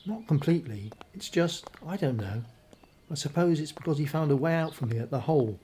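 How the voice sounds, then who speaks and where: Actor as a wary husband, mellow, noisy recording. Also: male voice, a little high, animated, fairly quick, lightly acted. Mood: resolved, hushed. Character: ordinary wary husband caught in a conspiracy. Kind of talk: radio drama acting.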